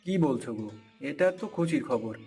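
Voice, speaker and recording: A man's voice: medium pitch, lively intonation, brisk, vocal fry, mellow, soft background music. A man voicing a good-natured poor village farmer and husband in a cartoon fairy tale. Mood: agreeable.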